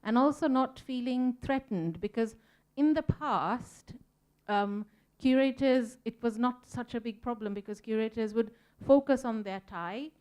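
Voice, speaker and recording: A woman's voice: medium pitch, darkish tone, smooth. A woman from Sri Lanka speaking on a conference panel, earnest and reflective, with frequent ums as she thinks. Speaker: museum volunteer panelist. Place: conference room.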